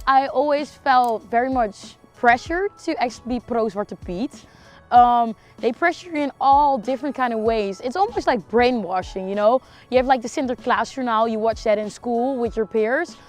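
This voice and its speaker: High-voiced young woman. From Netherlands. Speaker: student telling her personal story. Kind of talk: personal testimony, reflective.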